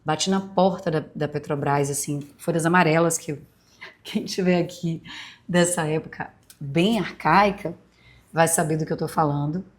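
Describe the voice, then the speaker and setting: A woman in her forties speaks in a low, unhurried register, her Bahian Brazilian accent softened by years in Rio. She hosts her podcast as a reflective monologue, wandering through memories with many asides and fillers, as if confiding in close listeners. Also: steady tone. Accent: Brazilian Portuguese, Bahian softened by years in Rio. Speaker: podcast host.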